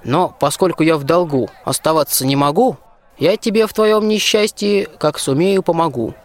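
High-voiced bold folk-tale soldier played by a young man. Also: normal speed, lightly acted. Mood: consoling, boastful. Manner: declamatory, folksy rhymed delivery. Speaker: student actor in a school radio play. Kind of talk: rhymed folk-tale radio play acting.

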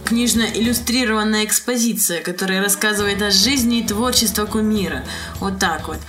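A woman's voice: medium pitch, normal speed, fairly bright tone. A woman co-hosting a radio show, presenting news items in a conversational way. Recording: music playing under the talk. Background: music.

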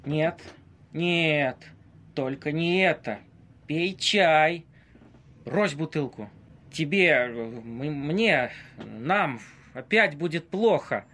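A man acts out a ghostly legendary seducer trapped in a tramp's body for an audio play. His voice sits fairly high for a man and moves slowly. The speech is florid and lamenting, full of haughty grandeur. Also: animated, sharp tone, full character voice. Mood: anguished. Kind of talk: amateur audio play acting.

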